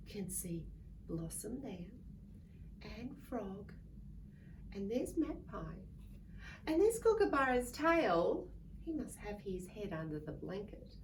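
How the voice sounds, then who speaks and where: A woman reading a picture book aloud to young children in a playful, expressive way, drawing out words and acting out sounds. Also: high-pitched. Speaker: children's story reader. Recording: distant mic with faint background noise.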